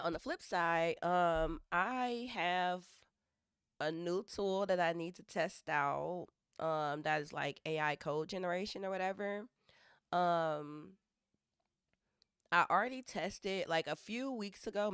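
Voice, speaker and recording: Young woman, low and hoarse, rambling live-stream chat, dry close sound. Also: fairly bright tone, no room sound. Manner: rambling, self-interrupting, thinking aloud. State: hoarse from allergies. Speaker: livestreaming developer.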